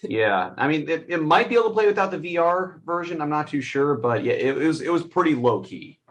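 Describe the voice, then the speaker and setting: A man speaking as a co-host in a casual, conversational movie review, halting and a little wry as he talks. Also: a little high, sing-song. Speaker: film reviewer and podcast co-host.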